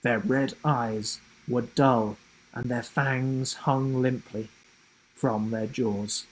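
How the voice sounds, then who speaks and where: Slow, husky man reading a storybook. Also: medium pitch, fairly even intonation, rough recording. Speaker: author reading his children's book. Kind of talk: expressive storybook narration.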